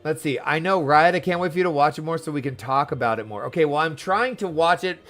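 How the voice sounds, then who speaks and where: Chatty, animated man streaming a game. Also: fairly high pitch, quick pace, soft background music. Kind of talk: casual livestream chat over gameplay.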